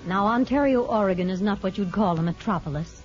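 A woman's voice: medium pitch, brisk, natural voice. Actress as woman narrator, muffled radio. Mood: wry, storytelling. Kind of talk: scripted radio drama narration. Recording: dull old radio recording with music.

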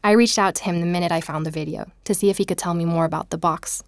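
An actress playing a curious, self-assured investigator in a naturalistic audio drama. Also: female voice, fairly low pitch, fairly even intonation, fast talker, close to an everyday voice. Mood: engaged, explaining. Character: curious, self-assured female investigator reporting her findings.

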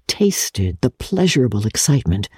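Husky, mellow woman narrating with drama. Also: extremely low pitch, no room sound, clean studio sound. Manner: measured, expressive, theatrical. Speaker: audiobook narrator.

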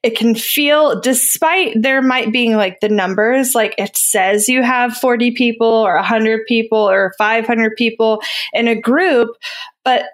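A woman's voice: fairly high pitch, unhurried. A woman co-hosting a podcast, asking thoughtful questions in a casual tone. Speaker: podcast co-host. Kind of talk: casual interview conversation.

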